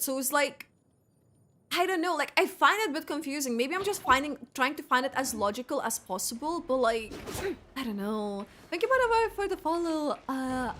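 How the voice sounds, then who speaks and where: A woman's voice: sits high, fairly bright tone, slight background noise. A woman streaming a game with casual, rambling commentary, thinking aloud and correcting herself as she goes. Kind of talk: casual live gameplay commentary.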